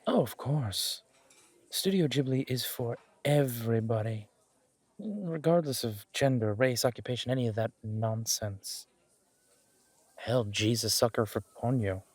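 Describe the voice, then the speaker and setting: Man playing a teasing mafia boss, husky and close. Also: medium pitch, a little slow, no room sound. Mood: relaxed, teasing. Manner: teasing, casual, mock-indignant, swearing. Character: intimidating but playful, affectionate mafia boss on a date. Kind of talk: romantic audio roleplay drama.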